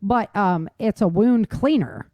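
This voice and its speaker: A woman's voice: medium pitch, measured pace, dry recording, muffled recording. A woman hosting a talk show, chatting informally and emphatically about practical tips and laughing easily. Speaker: podcast host. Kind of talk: casual survival-tips discussion.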